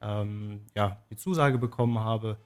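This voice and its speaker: Measured young man with a lowish voice. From southwest Germany. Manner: measured, hesitant, many fillers. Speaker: trainee, radio guest. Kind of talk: radio interview answers.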